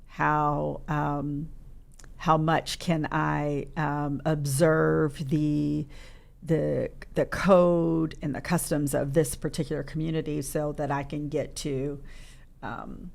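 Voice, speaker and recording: A woman's voice: low voice, little pitch movement, slow, vocal fry, darkish tone. A middle-aged woman, a podcast guest, talking in a reflective way and searching for her words in a studio. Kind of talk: casual interview chat.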